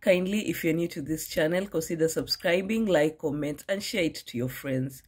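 A woman's voice: low-pitched, normal speed. A young woman narrates her village cooking video, speaking directly to her viewers in a friendly way. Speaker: cooking vlogger. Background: loud background music.

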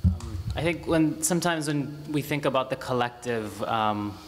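A man's voice: mid-range voice, moderate pace. A young man moderating an art panel, asking a long question and restarting words as he searches for them. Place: indoor art gallery. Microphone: stand mic. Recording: reverberant gallery, faint hiss.

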